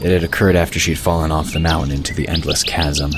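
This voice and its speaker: Very deep-voiced male narrator. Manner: measured, even storytelling. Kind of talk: scripted audiobook narration. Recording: hissy recording with bird ambience.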